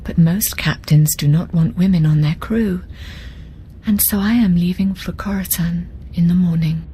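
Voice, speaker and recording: A proud, formal woman navigator from an adventure game, voiced by an actress, avoiding contractions. Her lowish voice is breathy and half-whispered, with faint ambient noise behind it. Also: fairly even intonation, normal speed, warm tone, close to an everyday voice. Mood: earnest.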